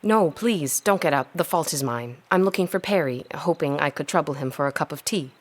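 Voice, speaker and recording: A woman's voice: deep voice, animated, fairly quick. An actress playing a polite but proud young woman scholar in an audio drama, well-mannered with a dry wit.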